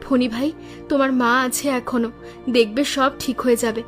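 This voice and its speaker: A kind village wife from a cartoon folk tale speaks in a clearly high voice, performed by a woman. She is caring, practical and reassuring. Music plays underneath her. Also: fairly even intonation, normal speed, lightly acted. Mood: kind, comforting. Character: kind, resourceful village woodcutter's wife, young mother. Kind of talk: Bengali cartoon story voice acting.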